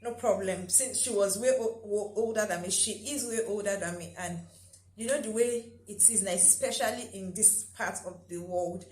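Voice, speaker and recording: Brief: young Nigerian-accented woman, chatty vlogger, mid-pitched, roomy echo with faint hiss. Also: normal speed, darkish tone. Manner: chatty, laughs often, frequent "um" and "right?". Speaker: YouTuber. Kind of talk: casual vlog talk.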